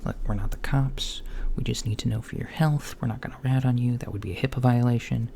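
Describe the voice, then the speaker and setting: A man doing a soft-spoken, partly whispered ASMR roleplay as a calm, reassuring doctor, murmuring hesitantly with long pauses. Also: mid-range voice, gravelly. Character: calm reassuring male alien doctor at a checkup. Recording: lo-fi with faint background noise.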